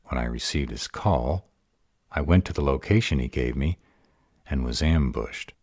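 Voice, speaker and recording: A man playing an arrogant, protective vampire lover in a roleplay audio drama, husky and deliberate. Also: a little mellow, no echo, clean studio sound. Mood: puzzled, serious. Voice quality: husky, very deep voice. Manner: haughty, deliberate delivery.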